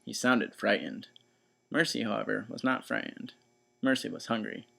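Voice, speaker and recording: Gravelly male storybook narrator. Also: fairly low pitch, steady tone, normal speed, sharp tone. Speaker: read-aloud storyteller. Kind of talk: expressive scripted children's story narration.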